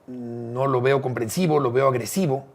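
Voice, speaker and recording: A middle-aged Mexican man with a Mexican accent, speaking in a husky, slightly breathy voice at an ordinary mid pitch. He talks earnestly and reflectively, like a guest opening up in a friendly interview. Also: fairly even intonation, normal speed. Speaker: interview guest, radio broadcaster. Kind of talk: warm casual interview talk.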